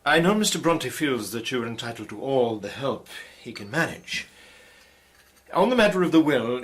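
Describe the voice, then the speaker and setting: A man's voice: medium pitch, a bit bright, natural voice. An actor playing a guarded, widowed Victorian clergyman in a period radio drama, formal, stiff and hesitant in his speech.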